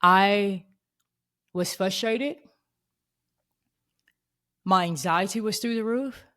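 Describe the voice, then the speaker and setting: A woman's voice: medium pitch, slow pace, bright, forceful tone. A woman in her thirties hosting a faith podcast, talking in an earnest, reflective way. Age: 30s. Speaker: podcast host.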